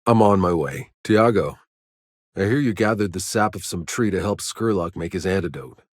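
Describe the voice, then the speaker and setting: A battle-hardened male survivor from a science fiction game. His voice sits low, and he delivers his lines tersely, with dry sarcasm and a weary edge. The sound is tight and free of room reflections. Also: moderate pace, no room sound. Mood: dry, sardonic. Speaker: video game protagonist.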